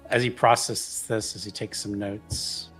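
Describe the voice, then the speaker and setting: A man's voice: lowish voice, flat delivery, a little slow, a bit bright, faint music behind, low-quality recording. A man playing a tabletop roleplaying game, casually narrating what his character does.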